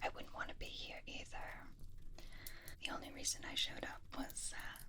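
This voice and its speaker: A woman playing a flirtatious, possessive female vampire in a whispered ASMR fantasy roleplay, teasing and intimate, with lingering pauses. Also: lightly acted. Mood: disdainful, gossipy.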